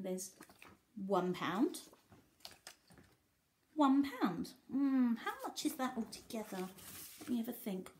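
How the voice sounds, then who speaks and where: Animated, high-voiced woman reading to children. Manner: animated, explaining and questioning as if to small children. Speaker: teacher reading to young children. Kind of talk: expressive picture-book read-aloud with simple asides.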